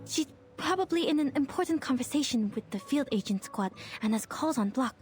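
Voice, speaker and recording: Awkward young female agent: high-pitched, anxious, hesitant game heroine with light music behind. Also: expressive, brisk, a little mellow. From Romania. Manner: hesitant, nervous.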